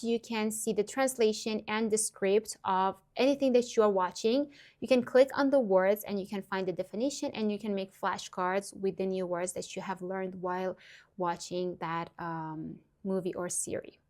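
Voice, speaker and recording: A woman's voice: medium pitch, darkish tone. A woman in her twenties from Iran, whose first language is Persian, giving earnest, upbeat language-learning advice to camera with frequent small laughs. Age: 20s. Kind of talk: direct-to-camera tutorial vlog.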